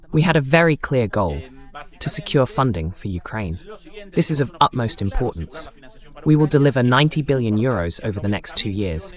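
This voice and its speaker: Man with lively, swinging intonation. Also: fairly low pitch. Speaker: host. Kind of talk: translated political commentary voice-over in English.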